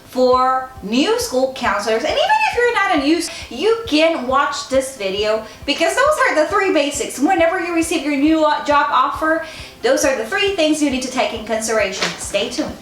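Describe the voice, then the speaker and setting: A woman with a Puerto Rican accent speaks in a high voice that swings widely in pitch. She addresses viewers directly in an encouraging, informal vlog style, as a counselor sharing advice. The room adds a noticeable echo. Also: very animated, moderate pace, fairly bright tone. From Puerto Rico. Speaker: school counselor giving advice. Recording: echoey, roomy sound.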